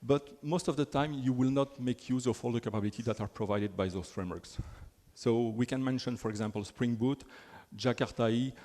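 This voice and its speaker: A man's voice: medium pitch, fairly quick, few pauses, warm tone. French-accented man presenting in a hall. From Belgium. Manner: chatty, self-deprecating, frequent fillers and restarts. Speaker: conference speaker. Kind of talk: technical conference talk. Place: large conference hall. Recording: hall with long reverb.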